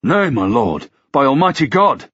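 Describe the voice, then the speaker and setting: A man's voice: medium pitch, very animated, moderate pace, a bit bright, breathy edge, lo-fi sound, pitched above the performer's own voice. A man voicing an earnest, proud medieval knight, deferential and alarmed, in archaic audiobook dialogue. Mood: desperate. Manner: humble, archaic, pleading formality.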